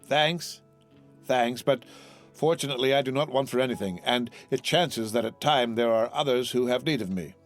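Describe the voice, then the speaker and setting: A man's voice: medium pitch, moderate pace, soft background music, close to an everyday voice. A man voicing a grinning, envious young tailor in a storybook, effusive and over-familiar, repeating himself. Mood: sly, effusive. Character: grinning, wheedling tailor neighbor, slyly envious man in his twenties.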